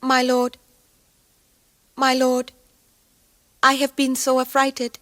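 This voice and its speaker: Slow, high-voiced frightened young noblewoman. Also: completely flat delivery, fairly bright tone, dry recording, full character voice. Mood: frightened, measured. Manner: slow, mechanically spaced, fragmented delivery. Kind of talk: 1980s experimental radio drama acting.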